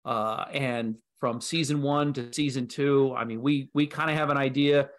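A man's voice: medium pitch, fairly even intonation, normal speed. A middle-aged man speaking casually as a creative guest in a video call interview. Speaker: guest, audio drama creator. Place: indoor room on a video call.

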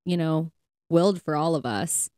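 A woman's voice: sits low, moderate pace, darkish tone, no echo, studio quality. A woman co-hosting a faith podcast, speaking in an earnest, conversational way. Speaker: podcast co-host. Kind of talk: casual faith podcast talk.

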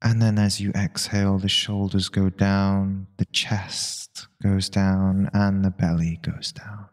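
A man with a deep voice guides a meditation at an extremely slow pace. His delivery is calm and very even, with little rise or fall. He gives gentle instructions in short phrases, leaving long pauses between them.

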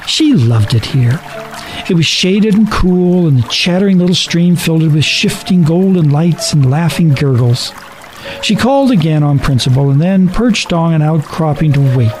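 A man's voice: a little high, normal speed, a little mellow, faint music behind, poor audio. A man reading a scripted story as a dramatic narrator.

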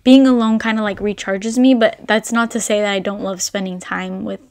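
A woman in her twenties, raised in Albania, chatting to camera in a relaxed Q&A and filling her talk with "like". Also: medium pitch, moderate pace. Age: 20s. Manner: chatty, rambling, full of "like" fillers. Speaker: vlogger. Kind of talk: casual Q&A chat to camera. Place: indoor room with brick wall and shelves.

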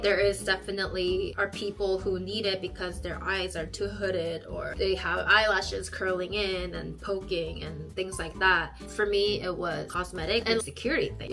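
Woman, 30s, casual vlog to camera, expressive, small room, bright tone. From South Korea. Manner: chatty and expressive, acts out quoted people in a high voice. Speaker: YouTube vlogger. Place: small bedroom indoors.

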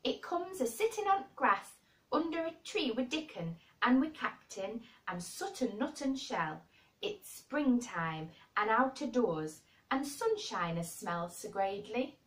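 A woman's voice: a little high, sing-song, unhurried, fairly bright tone, voice pushed up. A woman voicing a young girl who playfully and boldly puts on a broad Yorkshire dialect in an audiobook story. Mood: cheerful. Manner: broad dialect, bold and emphatic. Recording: roomy echo, distant microphone.

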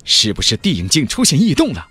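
A man's voice: mid-range voice, wildly swooping pitch, fast, sharp tone, slightly rough, no room sound, soft background music. A man narrating a fantasy novel as a dramatic scripted audiobook.

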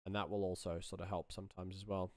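A man's voice: low-pitched, little pitch movement, quick pace, slight background noise. A man in his thirties giving casual sound design commentary, musing aloud as he works. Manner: musing, thinking aloud while working. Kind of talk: casual screen-share commentary.

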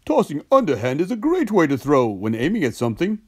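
A man's voice: fairly high pitch, huge pitch swings, fairly quick, a little mellow, breathy edge, pitched above the performer's own voice, exaggerated voice. A proud male mentor from a children's story, acted out by a man. He is encouraging and instructive, speaking in a raised voice with lots of up-and-down movement.

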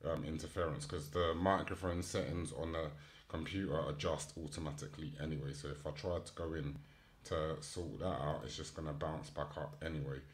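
Deep-voiced man hosting a live stream. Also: steady tone, fairly quick. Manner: informal, unscripted, thinking aloud. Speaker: host of a live online news and chat show. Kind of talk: casual live stream chat and article reading.

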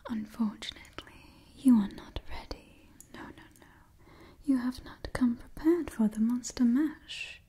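A woman in her twenties whispering close to the microphone in a slow, hushed ASMR style, softly repeating words. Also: a little high. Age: 20s. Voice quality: whispery, breathy. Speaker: ASMR host. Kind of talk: ASMR role-play and sponsor read.

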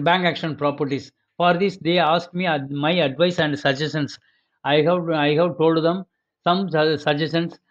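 A man from Chennai with a Tamil accent hosts his channel in a casual voice-over. He is polite and instructive, and he talks haltingly, repeating himself and correcting himself as he goes. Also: on the high side, highs cut off. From Chennai, India. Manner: repetitive, halting, with many self-corrections; polite and instructive, addresses the listener as sir. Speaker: channel host giving property auction advice.

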